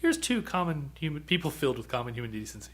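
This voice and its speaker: A man hosting a podcast and recapping a TV episode in rambling, halting talk with fillers and chuckles. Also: a little high, big pitch swings, crowd noise. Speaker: podcast host. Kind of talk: casual chat recapping a TV episode.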